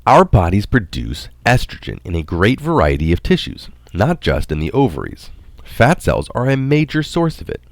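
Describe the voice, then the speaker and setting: A man narrating a scientific article aloud in a deliberate, explanatory manner. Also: on the low side, huge pitch swings, no echo. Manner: deliberate, marks quotations aloud.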